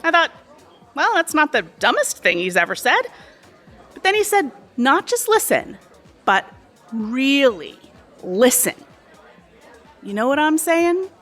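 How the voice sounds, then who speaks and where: A woman's voice: sits high, big pitch swings, a little slow, pauses often, fairly bright tone, soft background music, a faint crowd, full character voice. An actress playing a chatty, pushy, sly cocktail waitress in her thirties, breezy and overly familiar, in an audio drama. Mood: chatty, griping. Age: 30s. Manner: breezy, familiar, insinuating, talkative.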